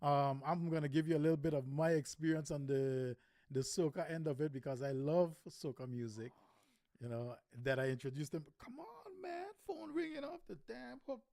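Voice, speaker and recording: Male radio host, slightly high voice, dry close sound, hesitant casual chat. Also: expressive, moderate pace, gravelly, darkish tone, no echo. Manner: loose, hesitant, lots of ums and you-knows. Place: radio studio.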